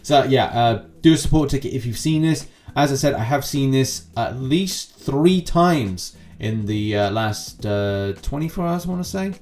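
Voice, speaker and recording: A man's voice: medium pitch, lively intonation, moderate pace, few pauses, a little mellow. A man giving casual, rambling gaming news commentary as a channel host, with chatty asides.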